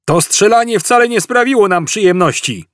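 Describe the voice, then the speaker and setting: A man's voice: high voice, very animated, normal speed, a bit bright, no room sound, studio quality, voice pushed up, heavily acted. A man voicing a moody, aggrieved policeman in a comic science fiction audiobook, calling out his complaints. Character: second space policeman, touchy, moody would-be novelist.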